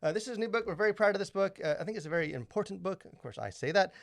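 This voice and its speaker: A man's voice: high voice, expressive, rapid-fire, creaky, a bit bright, no echo. Male podcast host, enthusiastic, hesitant delivery, dry close sound. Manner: enthusiastic, lots of filler hesitations. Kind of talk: casual podcast chat.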